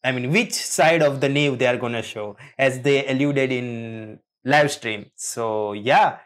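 A man casually reacting to game trailers, speaking in a hesitant, halting way. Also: medium pitch, a bit bright. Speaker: reaction video host.

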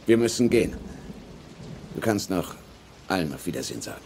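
A man's voice: deep voice, animated, a little fast, darkish tone, slight background noise. An actor playing a disillusioned middle-aged father, dry and scornful, in dubbed film dialogue. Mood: bitter, weary.

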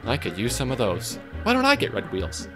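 A man voicing a vain, excitable red steam engine in a storybook tale, huffy and boastful. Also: medium pitch, fairly quick, over loud music. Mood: indignant. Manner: indignant, boastful, flustered stammering.

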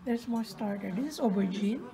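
A woman's voice: mid-range voice, vocal fry, dark tone, slight background noise. A woman filming a casual restaurant vlog on a handheld phone, chatty and laughing often. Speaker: vlogger. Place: busy restaurant indoors. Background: crowd chatter.

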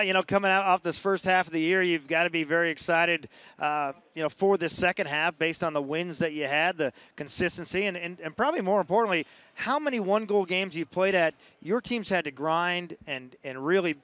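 Higher-pitched male sports radio host, crisp voice. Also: brisk, fairly bright tone. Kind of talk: post-game radio interview.

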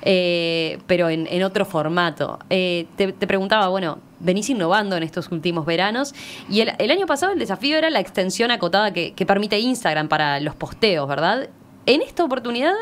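A woman's voice: medium pitch, lively intonation, fairly quick. Uruguayan woman hosting, faint background noise. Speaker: radio host. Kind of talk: conversational radio interview. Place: small radio studio with windows. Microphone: close studio microphone on stand.

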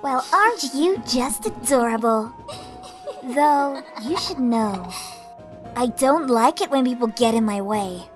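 A woman's voice: a little high, expressive, slow pace, soft background music. A woman playing a sinister young killer in a comic dub, mocking and condescending. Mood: menacing. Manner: taunting, condescending. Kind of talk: dramatic comic dub acting.

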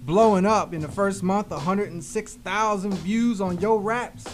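Young American male, high-pitched, hip hop radio presenter, loose street slang, faint music underneath. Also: measured pace. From West Philadelphia, United States. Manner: slangy, frequent 'you know what I'm saying'. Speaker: guest radio host. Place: small radio studio, indoors. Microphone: close studio microphone on arm, headphones.

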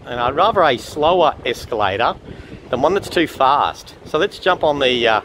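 A man's voice: medium pitch, lively intonation, a bit bright, rough recording. Chatty man vlogging over outdoor noise. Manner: unhurried, chatty, observational. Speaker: travel vlogger. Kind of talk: casual walk-around commentary. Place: walking around an open-air shopping mall. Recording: outdoor urban and traffic noise.

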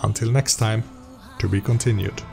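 Low-voiced man narrating over music. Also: fairly even intonation, normal speed, vocal fry. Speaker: narrator and host of a role-playing story series. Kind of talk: audiobook-style scripted narration.

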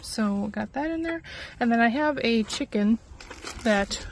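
A woman's voice: a little high, lively intonation, a little slow. A middle-aged woman giving a chatty, informal how-to on a phone outdoors, explaining as she goes with some hesitation. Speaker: chicken keeper presenting a how-to. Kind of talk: casual chatty how-to vlog. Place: outdoors in a farmyard chicken run. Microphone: handheld phone at arm's length. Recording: outdoor phone audio with background noise. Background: chickens clucking, outdoor noise.

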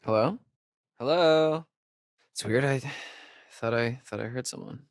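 A man's voice: mid-range voice, no room sound, lightly acted. Man playing a wisecracking young American guy, dry recording. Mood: casual, puzzled. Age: young adult. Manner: casual, sarcastic, halting asides. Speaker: video game voice actor. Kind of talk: naturalistic video game dialogue.

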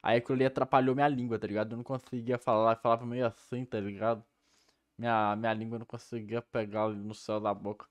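A man's voice: lowish voice, little pitch movement, fast, dry recording. A young man with a Brazilian accent giving casual, slangy commentary as a reaction host on a headset mic. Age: young adult. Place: small room indoors.

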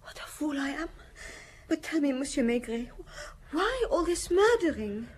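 A woman's voice: high-pitched, big pitch swings, moderate pace. An actress playing the distressed, innocent wife of an accused man in a radio drama. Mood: anguished.

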